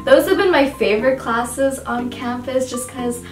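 A woman's voice: high-pitched, a little slow. A young adult woman from the United States and Qatar, chatting casually as a guest. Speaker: guest roommate, university student. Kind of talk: casual chat. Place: small dorm room, indoors. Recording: roomy, distant mic, music behind.

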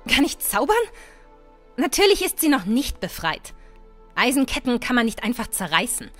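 A woman playing a bold, sharp-tongued, impatient young adventuress in a fan-made fantasy audio drama. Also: on the high side, sing-song, normal speed, soft background music. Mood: dry, stern. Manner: sarcastic, bossy.

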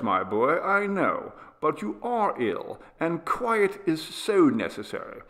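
A man acts out an anxious father in a storybook, gently humouring a sick child. The delivery is unhurried and coaxing, with a lively rise and fall in pitch. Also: creaky, heavily acted. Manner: soothing, measured, coaxing. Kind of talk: dramatised audiobook character reading.

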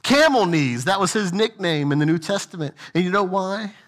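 A middle-aged man preaching a sermon as senior pastor, moving between quiet, low asides and raised, emphatic lines as he walks a church stage. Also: lively intonation, normal speed. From United States. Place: large church sanctuary with stage.

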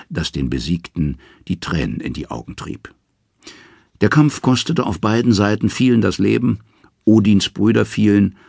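Very deep, gravelly male storyteller. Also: darkish tone, no room sound, studio quality. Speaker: narrator. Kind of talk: scripted mythological storytelling.

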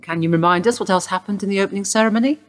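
An actress playing a woman detective who questions a suspect in a brisk, formal way. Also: medium pitch, natural voice. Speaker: police detective. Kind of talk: radio play acting.

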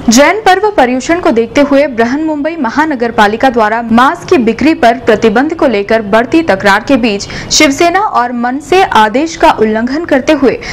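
High-voiced young woman reading the news. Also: slight background noise. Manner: formal, even newsreader delivery. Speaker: news anchor. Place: TV news studio.

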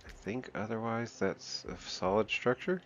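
A man with a deep, low voice gives relaxed let's-play commentary, counting and muttering to himself as he works. The recording is a little dull and muffled. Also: measured pace, a little hiss or hum. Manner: counts and mutters while building, self-talk. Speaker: gaming channel host.

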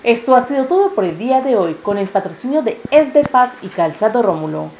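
A woman's voice: on the high side, very animated, a little fast, darkish tone. A young Colombian woman presenting a scripted local television announcement. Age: young adult. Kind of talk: scripted TV sign-off. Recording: echoey room with clear noise.

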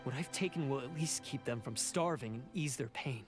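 A man acts out a proud oni outcast from a video game, bitter and defiant. The delivery is dramatic and scornful, in a medium-pitched voice, with music clearly playing behind it. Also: a little fast, close to an everyday voice. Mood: defiant, weary.

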